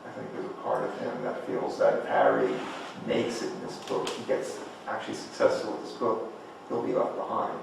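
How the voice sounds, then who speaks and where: A man's voice: lowish voice, big pitch swings, moderate pace, gravelly. A man talking casually and analytically in a group discussion, reasoning aloud in a tentative way. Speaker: participant in play reading discussion. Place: echoey room, group table read. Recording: echoey, noisy room, distant mic.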